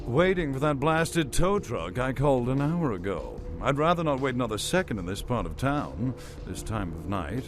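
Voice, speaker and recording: A mid-pitched, genteel gentleman voiced by a male actor, hesitant and fussy in his manners. It is video game acting, with music clearly behind the voice. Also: big pitch swings, moderate pace, hardly any pauses, lightly acted. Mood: uneasy, annoyed. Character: polite, fussy, well-mannered stranded gentleman motorist.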